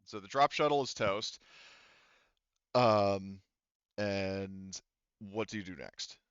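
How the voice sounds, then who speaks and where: A man running a tabletop roleplaying game as game master, explaining things casually in a halting way. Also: fairly low pitch, clean tone, no echo, lo-fi sound.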